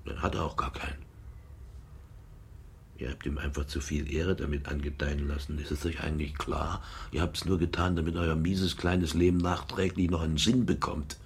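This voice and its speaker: An actor playing a bitter, scornful grown son in a radio drama, with a gravelly, sometimes breathy voice and a sardonic, muttering manner. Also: male voice, very deep voice, fairly even intonation, moderate pace, exaggerated voice. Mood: scornful, accusing.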